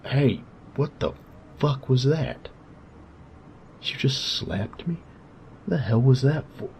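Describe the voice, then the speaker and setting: A man voicing a cocky, teasing young bully who is secretly smitten, in a husky, slangy intimate audio roleplay. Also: animated, takes it slowly, darkish tone, dull audio, slight background noise. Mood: startled indignation. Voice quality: very deep, gravelly, husky. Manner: swearing, teasing, smug slangy drawling delivery.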